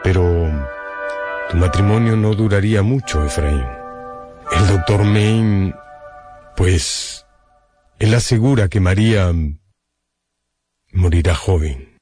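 A man's voice: deep voice, takes it slowly, long pauses, a little mellow, soft background music. An actor playing a grave, caring, anxious father in a radio drama. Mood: grave, concerned.

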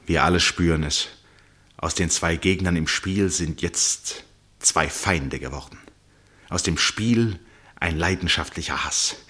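A man playing a grave, concerned gentleman who narrates a radio drama in a dramatic, deliberate manner. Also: very deep voice, husky, exaggerated voice.

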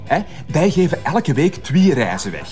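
An actor playing a nervous, slow-witted student waiter in a comic radio drama, eager and uncertain. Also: male voice, on the high side, very animated, darkish tone, soft background music. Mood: flustered, polite. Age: young adult.

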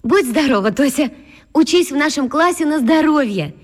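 An actress playing a kind, welcoming female schoolteacher in a radio drama, speaking in a gentle, encouraging way. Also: high voice, expressive, normal speed. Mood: friendly. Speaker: teacher. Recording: long reverb, distant from mic.

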